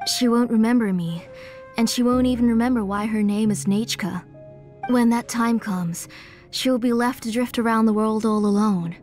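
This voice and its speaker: A woman playing an aging, devoted mother and engraver in a video game, measured and earnest, weary but resolute. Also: medium pitch, a little mellow, lightly acted.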